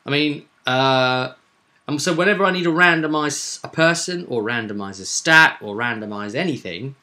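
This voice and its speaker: A man's voice: mid-range voice, moderate pace, bright, forceful tone. A man hosting a vidcast as game master, chatting casually and animatedly to viewers as he rambles through a roleplay session recap.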